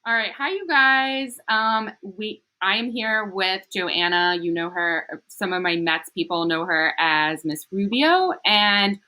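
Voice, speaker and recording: Bright-voiced woman hosting an interview. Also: medium pitch, takes it slowly, hardly any pauses, rough recording. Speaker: interviewer and channel host. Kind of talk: casual interview intro and questions.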